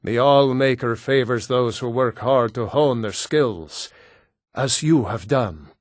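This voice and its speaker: An actor playing a proud, solemn Nord hunter and warrior in a video game, speaking in a measured, formal way. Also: male voice, medium pitch, no room sound, clean studio sound, lightly acted. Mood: earnest, measured. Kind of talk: scripted video game character dialogue.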